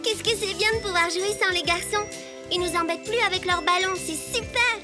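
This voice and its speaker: A woman voicing a spirited, sociable schoolgirl in a children's cartoon, with an expressive childlike delivery. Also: very high voice, brisk. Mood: cheerful. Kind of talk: French animated series dubbing. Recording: music behind the voices.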